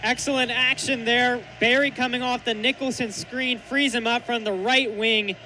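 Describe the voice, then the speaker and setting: A man giving excited live basketball analysis as color commentator on a noisy broadcast. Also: extremely high pitch, moderate pace.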